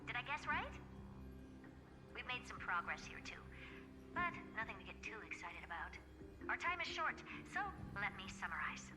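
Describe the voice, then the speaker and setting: Casting: actress for a haughty, dismissive female scientist in a game; mid-pitched, blunt, reverberant. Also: big pitch swings, normal speed, pauses often, natural voice. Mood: smug, brisk. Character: haughty, brisk genius woman scientist, sardonic. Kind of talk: video game voice acting. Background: music.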